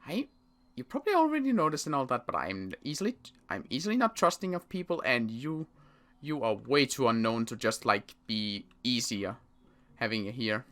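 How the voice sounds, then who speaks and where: Someone acting out a exasperated, distrustful sniper, bright-voiced. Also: medium pitch, big pitch swings. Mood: guarded, grudging. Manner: halting, exasperated, grumbling. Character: gruff, distrustful ex-military sniper, easily frustrated. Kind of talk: improvised Minecraft zombie roleplay.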